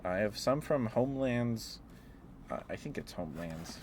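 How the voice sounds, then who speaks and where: A man with a very low voice chats casually on an ASMR livestream, with clear noise behind him. He meanders and hesitates as he talks to his viewers, often sounding apologetic. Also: expressive, normal speed, darkish tone. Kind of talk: rambling ASMR stream, casual chat with viewers.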